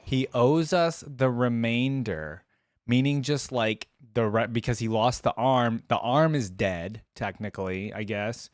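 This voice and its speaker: Male reaction host, casual game commentary, slangy, musing, medium pitch, dry sound. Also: unhurried, dry recording. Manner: musing, repeats lines back, slangy.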